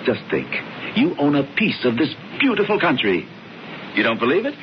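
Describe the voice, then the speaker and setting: A self-assured, scheming older brother coaxes with a lively, rising and falling delivery. The muffled old radio broadcast has a faint hiss behind it. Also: male voice, medium pitch, moderate pace. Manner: coaxing, assured. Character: scheming confident older brother, smooth talker. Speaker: brother George. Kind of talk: 1970s radio drama acting.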